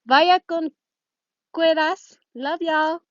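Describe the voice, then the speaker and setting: A woman's voice: very high-pitched, steady tone, very slow, a bit bright, dry recording, pitched above the performer's own voice, exaggerated voice. A woman voicing a cheeky, upbeat teenage boy with a breezy manner in an amateur story reading. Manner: jaunty, breezy. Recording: muffled phone recording.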